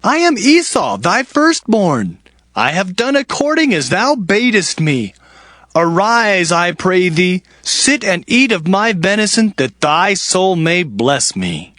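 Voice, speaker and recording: A man acts out an anxious younger son in a scripture audio drama. His voice is high and very lively, formal yet pleading. It sounds close and dry, with an earnest, scheming edge. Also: takes it slowly, no echo, heavily acted. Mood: earnest, deceiving.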